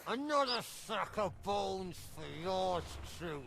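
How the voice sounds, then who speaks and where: An actor voicing a crafty, boastful old talking skull in a video game. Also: male voice, high voice, animated, slow pace, very noisy, full character voice. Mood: guiding, sly. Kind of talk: video game character acting. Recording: loud game music behind.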